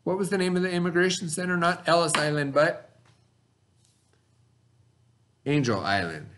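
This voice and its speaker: A man's voice: a little high, moderate pace, a bit bright, a little hiss or hum. A man speaking as a teacher in a read-aloud lesson, explaining simply and posing questions that he answers himself.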